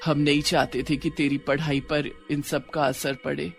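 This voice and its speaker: An affectionate village mother voiced by a man in a cartoon story, speaking a little high. The delivery is tender and motherly, with faint music behind it. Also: level tone, unhurried, gravelly. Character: affectionate worried middle-aged village mother.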